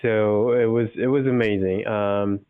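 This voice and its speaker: Young man, smooth low voice. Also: fairly even intonation, no echo, phone-quality sound. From South Korea. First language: Korean. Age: young adult. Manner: measured and polite, pauses to find words. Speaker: dentist guest. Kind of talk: casual podcast interview.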